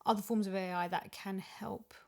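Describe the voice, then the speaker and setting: A woman in a casual discussion, explaining her opinions in an animated way. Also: mid-range voice, normal speed, gravelly. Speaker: podcast guest, audio drama voice actor.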